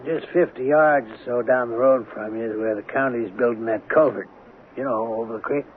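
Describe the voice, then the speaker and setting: A man's voice: medium pitch, fairly quick, close to an everyday voice. An American man playing a folksy, plain-spoken small-town sheriff, hesitant and apologetic yet probing, in an old-time radio drama. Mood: folksy, matter-of-fact. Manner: folksy, hesitant, rural turns of phrase. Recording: narrow, noisy old radio broadcast.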